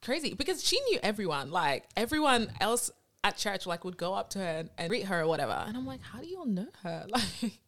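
A woman's voice: mid-range voice, very animated, brisk, few pauses, fairly bright tone. A young woman from Nigeria co-hosting a podcast, chatting casually and teasing her friend, with frequent laughs. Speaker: podcast co-host. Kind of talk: casual chat.

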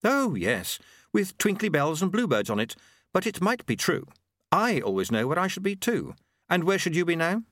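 A man voicing a brisk, knowing, sardonic woman in an audiobook, with a dry, sarcastic manner. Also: high-pitched, very animated, a bit bright, no room sound, pitched above the performer's own voice, heavily acted. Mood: sardonic, probing. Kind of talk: audiobook character acting.

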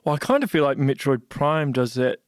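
A male podcast host asking long, probing questions with curiosity. His voice sits at a medium pitch. The sound is dry and close. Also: very animated, fairly quick, no room sound. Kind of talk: interview conversation.

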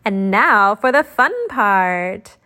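A woman's voice: medium pitch, lively intonation, slow pace, pushed and bright. A woman voicing a cheerful, earnest young girl genie, one of twin sisters in a children's storybook.

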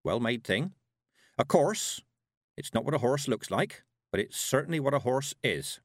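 Man putting on a sharp, proud old country witch. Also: medium pitch, lively intonation, moderate pace, no echo, clean studio sound, voice pushed up. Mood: musing. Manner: curt, haughty, rustic turns of phrase.

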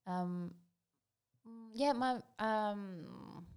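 A woman from Greece, a guest speaking in a warm, reflective, casual way. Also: medium pitch, very slow, a little hiss or hum. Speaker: guest, hat designer. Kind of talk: casual interview chat.

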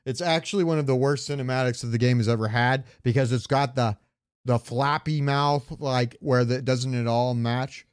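A man talks as a podcast co-host in a casual, opinionated style. His voice is smooth and clear at a middling pitch, recorded very dry. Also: fairly even intonation, normal speed, no room sound. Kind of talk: casual chat.

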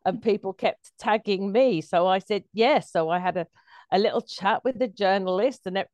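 A woman's voice: mid-range voice, normal speed, a little mellow, no echo. A woman, a guest performer telling anecdotes casually in an interview.

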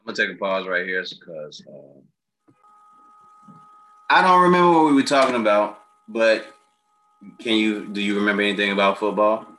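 A man's voice: fairly low pitch, normal speed, long pauses, pushed and bright, poor audio. An American man from Chicago hosting a casual chat, blunt and opinionated, swearing freely in an informal way. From South Side of Chicago, United States. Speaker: host.

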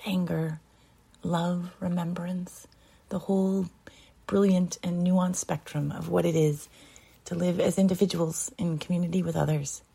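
A woman giving a scripted introduction to a live storytelling show, measured and unhurried, lingering on listed words with soft, breathy stresses. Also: fairly low pitch. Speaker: show host.

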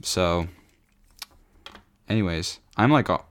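A man in his twenties hosting his own podcast, rambling casually with lots of fillers and restarts, his voice raspy from singing. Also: low voice, expressive, slow, fairly bright tone. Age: 20s. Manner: rambling, self-correcting, frequent fillers. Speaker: podcast host. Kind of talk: rambling solo podcast chat.

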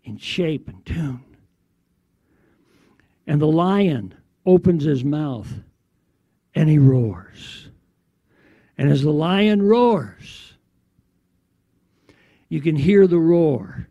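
A man preaching in a declamatory way, recounting a prophetic vision in short phrases with deliberate pauses. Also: a little high, mellow, no echo, muffled recording. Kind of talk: prophetic sermon narration.

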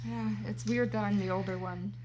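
A woman's voice: mid-range voice, steady tone, brisk, crowd noise, poor audio. Easygoing young woman with dry quips. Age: 20s. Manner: easygoing, joking asides. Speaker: podcast co-host. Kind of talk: casual chat.

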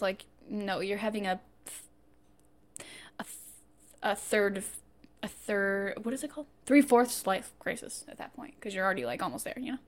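A woman in her twenties co-hosting a podcast, chatting casually and rambling with self-mocking asides that trail off. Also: mid-range voice. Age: 20s. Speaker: podcast co-host. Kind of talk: casual chat.

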